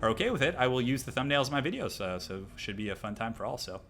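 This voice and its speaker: A man with a voice hoarse from a cold, casually commentating a replay of a strategy game for viewers. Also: mid-range voice, sing-song, fast talker, a bit bright, a little hiss or hum. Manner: casual, chatty, addresses viewers as guys. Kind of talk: gameplay commentary.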